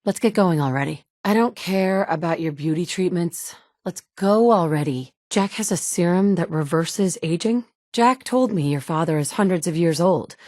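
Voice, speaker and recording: A woman's voice: on the low side, moderate pace, few pauses, darkish tone, no echo, studio quality, lightly acted. A woman voicing a tough, blunt female survivor in a game, with curt, commanding lines. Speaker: player character. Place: recording studio. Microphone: close studio mic.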